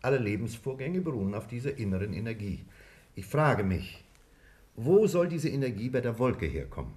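A man acting a sober, probing doctor in a 1950s German radio play, measured and precise. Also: mid-range voice, big pitch swings, a little mellow, lightly acted. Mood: sceptical, probing. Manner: measured, matter-of-fact, precise. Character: sober, probing male doctor challenging a theory.

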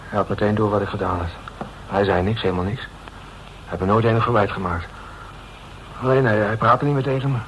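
An actor playing a frightened, guilt-ridden man in a Dutch radio drama, confessing haltingly with stammers and repeated words. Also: fairly low pitch, close to an everyday voice. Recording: noisy old radio drama recording.

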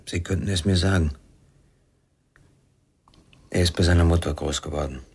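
A deep, husky, troubled father. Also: fairly even intonation, normal speed, a little mellow, exaggerated voice. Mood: regretful, reflective. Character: weary, troubled father searching for his son. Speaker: radio drama actor. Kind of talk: radio crime drama acting.

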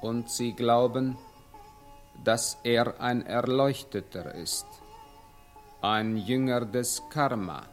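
An actor playing a serene, sanctimonious guru, speaking in a lofty, pious manner in a radio crime drama. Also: male voice, mid-range voice, takes it slowly, pauses often, faint music behind, close to an everyday voice. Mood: lofty calm.

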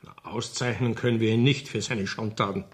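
Ein Schauspieler spielt in einem Hörspiel einen berechnenden Kriminalkommissar, der förmlich und überzeugend spricht. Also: male voice, fairly low pitch, fairly even intonation, slightly rough. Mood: formal, insistent. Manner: formal, persuasive, measured. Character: calculating male police commissioner bargaining with an informant. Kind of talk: radio play acting.

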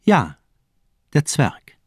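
An actor in a radio crime drama playing a cold, dapper villainous doctor, calm, superior and ironically polite. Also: male voice, very slow, dry recording, studio quality. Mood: smug calm.

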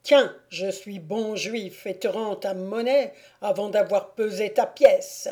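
A woman's voice: medium pitch, slow, dark tone, natural voice. A woman voicing a huge male stranger who is scornful and commanding.

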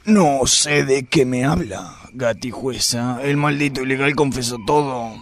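A boorish, self-important police inspector , voiced by a man, lays on a theatrical, lecherous swagger. His voice is mid-pitched and gravelly. It is an amateur radio play. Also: measured pace, a little hiss or hum, close to an everyday voice. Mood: pompous. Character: crude, bigoted male police inspector.

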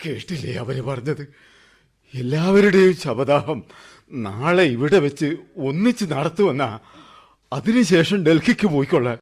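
Brief: an aging father, slow, heavy, tender. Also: fairly high pitch, animated, slightly rough. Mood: subdued. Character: grieving older father, gentle but broken.

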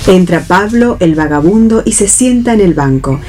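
Mellow Argentine woman narrating a play. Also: lowish voice, expressive, moderate pace. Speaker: stage-direction narrator. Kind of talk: scripted narration for a read radio play.

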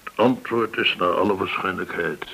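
A man's voice: deep voice, moderate pace, pushed and bright, slightly rough, full character voice, poor audio. An actor in a radio play playing a courteous, shrewd male go-between, formal, learned and insinuating. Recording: hissy old radio recording.

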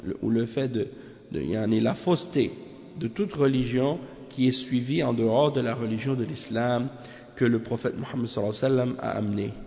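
A man with a cold, teaching a religious commentary and explaining it in halting, restarting French. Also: medium pitch, gravelly, dark tone. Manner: halting, many restarts and fillers. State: has a cold. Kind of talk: reading Arabic text, then explaining in French. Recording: muffled roomy lo-fi recording.

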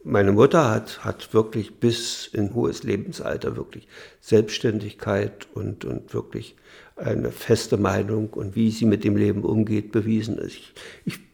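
An older man from Germany recalling his parents in a spontaneous, halting way, searching for words and repeating for emphasis. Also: lowish voice, steady tone, creaky, a little mellow. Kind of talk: spontaneous reminiscence.

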